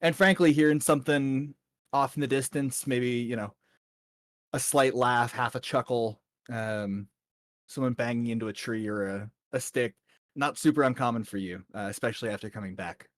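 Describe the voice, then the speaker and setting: A man's voice: mid-range voice, moderate pace, dry recording, studio quality. Easygoing man running a tabletop game. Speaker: game master. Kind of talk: casual tabletop game session narration.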